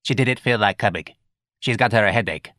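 A man's voice: on the low side, brisk, pushed and bright, no room sound, studio quality. A man voicing a meek young policeman who talks in a subdued mumble.

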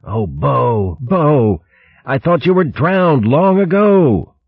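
A man's voice: mid-range voice, big pitch swings, takes it slowly, husky, no room sound, pitched above the performer's own voice, heavily acted. A man voicing an emotional, brave young male mouse from a ship's crew, speaking earnestly. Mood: desperate. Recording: muffled lo-fi recording.

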